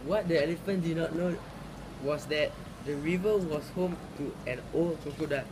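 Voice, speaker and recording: A man's voice: a little high, moderate pace. A man reading a folk tale aloud. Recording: clearly noisy recording with ambient sound.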